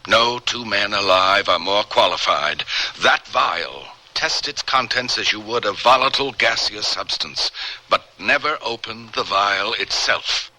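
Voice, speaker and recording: An actor playing a tormented, grave and commanding biochemist with a secret, in radio drama style. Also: male voice, fairly low pitch, lively intonation, unhurried, bright, forceful tone, heavily acted. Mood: grave, urgent. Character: tormented, intense biochemist guarding a secret in his lab. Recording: noisy old radio recording.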